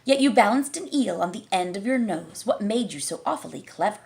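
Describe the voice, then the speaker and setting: A woman's voice: mid-range voice, animated, fairly quick, gravelly, darkish tone. Sing-song young man's questions, read by a woman. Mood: questioning. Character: questioning young man in a comic poem.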